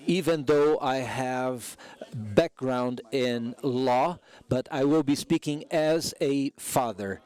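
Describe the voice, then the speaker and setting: Slow, measured male interpreter. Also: medium pitch, a little mellow. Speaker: simultaneous interpreter. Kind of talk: simultaneous interpretation of a speech.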